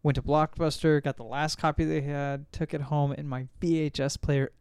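A young man with a smooth, slightly high voice chats casually as a podcast host. He rambles through stories with plenty of filler words, recorded close and dry. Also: little pitch movement, moderate pace, darkish tone, no room sound. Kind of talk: casual pop-culture chat.

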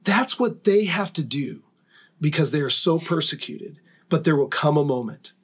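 Male co-host, husky, fairly high, earnest devotional chat. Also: expressive, moderate pace, fairly bright tone. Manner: earnest, building to emphatic proclamation. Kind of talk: casual devotional discussion.

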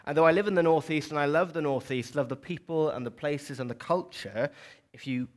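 A man's voice: a little high, a little fast. A young man with a South Welsh accent preaching a sermon in a church, chatty, with asides to the congregation. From South Wales.

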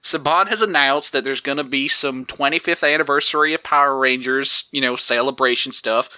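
A man's voice: mid-range voice, a little fast, bright, forceful tone, clean tone, no echo. A man voicing an immortal male cowboy in a put-on cowboy accent, hosting a comedic podcast news bit in a folksy, cryptic manner. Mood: drawling. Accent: put-on cowboy drawl. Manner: folksy, unbothered, grandly cryptic. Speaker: segment host.

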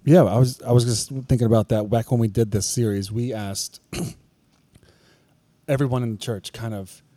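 A man's voice: medium pitch, fairly even intonation, a little slow, dark tone, dry recording. A man co-hosting a church podcast, talking candidly and confessionally in casual chat, often circling back and restarting his sentences.

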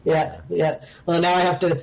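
A woman's voice: on the low side, steady tone, moderate pace, creaky. An American woman in her forties, a podcast guest talking casually and laughing often between phrases. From United States. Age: 40s. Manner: chatty, laughs often mid-sentence. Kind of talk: casual chat.

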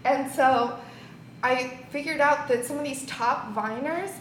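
Roomy, high-voiced woman off the mic. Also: unhurried. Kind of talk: casual podcast chat. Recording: roomy, off-mic, faint background noise.

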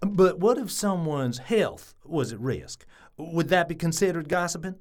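A woman plays a bumbling small-town Southern man in a comic audio drama. The voice sits fairly low for a woman and swings widely as he blusters, defensive and evasive. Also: expressive, measured pace, a little mellow. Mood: eager, nosy.

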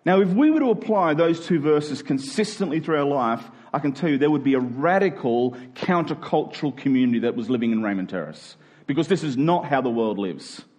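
A man's voice: on the high side, animated, brisk, darkish tone. A man with an Australian accent preaching a conversational sermon, explaining step by step and leaning on key phrases. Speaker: pastor.

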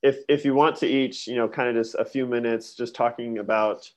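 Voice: medium pitch, steady tone, brisk, darkish tone. A podcast host reading a scripted introduction, then asking guests questions in a looser, hesitant way.

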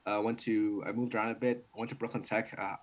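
A young man from New York City, a martial arts instructor and guest, talking in quick run-on sentences with restarts, laughs and blunt swearing. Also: on the low side, little pitch movement. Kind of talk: casual interview.

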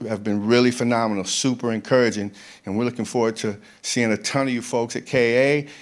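A man, a ministry leader, speaking earnestly to a church group, often stopping and restarting his sentences. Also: fairly low pitch.

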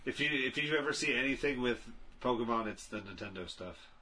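A man's voice: mid-range voice, animated, a little fast, sharp tone, breathy edge. A man co-hosting a casual podcast, giving blunt opinions and reading out items. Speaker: podcast co-host. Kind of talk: casual chat, reading out a ranked list.

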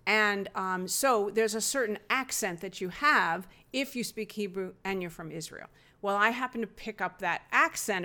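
A middle-aged woman telling personal stories in a relaxed, earnest conversational way. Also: medium pitch. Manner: earnest, reflective, with fillers and laughs. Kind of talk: conversational storytelling.

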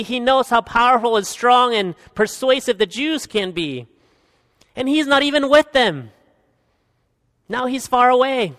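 High-voiced earnest pastor in a reverberant hall. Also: male voice. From Guatemala. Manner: earnest, rising to emphatic peaks and dropping to quiet asides. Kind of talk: expository sermon. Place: church hall.

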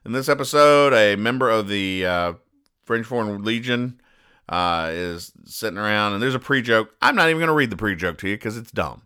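Bright-voiced deadpan man hosting a comedy podcast. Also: lowish voice, moderate pace, smooth. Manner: deadpan, over-explaining. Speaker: podcast host. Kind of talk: mock-serious explaining of comic strips.

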